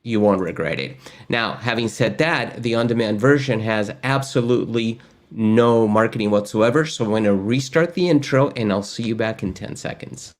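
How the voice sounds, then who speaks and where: A man's voice: fairly low pitch, normal speed, slight background noise. A middle-aged man from Argentina, hosting a casual webinar in an upbeat, chatty way with many fillers, recorded at his desk in a home studio. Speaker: host. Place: home studio with gaming chair.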